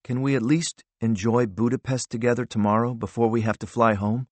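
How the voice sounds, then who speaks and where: A man voicing a woman who asks softly and wistfully. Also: medium pitch, steady tone, a little fast, no room sound, lightly acted. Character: woman lover, softly asking.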